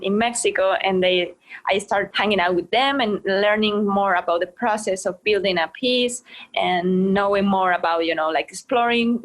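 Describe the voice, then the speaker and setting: A woman's voice: medium pitch, rough recording. Hesitant Ecuadorian woman guest. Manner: hesitant, many fillers and restarts, phrasing of a second-language speaker. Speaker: guest, art marketer. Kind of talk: casual interview answers.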